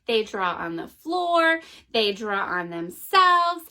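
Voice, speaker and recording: A woman's voice: a little high, big pitch swings, takes it slowly, bright, forceful tone, exaggerated voice. A woman voicing a cautious mother who turns down her daughter's requests in a firm, patient way.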